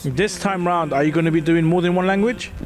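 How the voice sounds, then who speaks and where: A man's voice: fairly high pitch, brisk, over music. A man hosting a competition show, interviewing a contestant in an upbeat, encouraging way. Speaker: show host.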